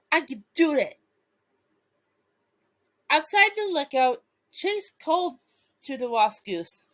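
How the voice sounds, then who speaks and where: A woman reading a bedtime story aloud and chatting casually to viewers, with a playful, giggly, expressive manner. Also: sits high, slow, long pauses. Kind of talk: storybook read-aloud with casual asides to fans.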